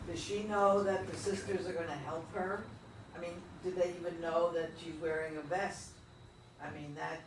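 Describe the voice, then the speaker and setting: A man's voice: high voice, slight background noise. A man in a theatre discussion asking probing questions about the play. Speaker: audience member giving feedback. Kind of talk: workshop discussion. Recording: echoey room, distant mic.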